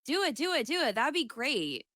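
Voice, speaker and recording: Bright-voiced, playful woman co-hosting a podcast. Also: high-pitched, moderate pace. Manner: animated, playful, swears freely. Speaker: podcast co-host. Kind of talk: casual banter.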